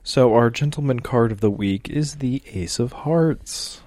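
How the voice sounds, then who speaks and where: A man's voice: mid-range voice, unhurried, mellow, dry recording. A man of about thirty co-hosting a casual podcast over drinks, laid-back and agreeable, laughing easily. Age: around 30. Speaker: podcast co-host.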